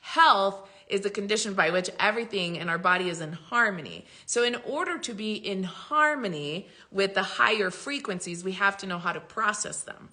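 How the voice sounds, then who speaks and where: A woman's voice: medium pitch, sharp tone. A woman spiritual coach talking casually and emphatically to her followers, swearing freely and laughing often. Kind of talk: casual talk to followers, livestream-style.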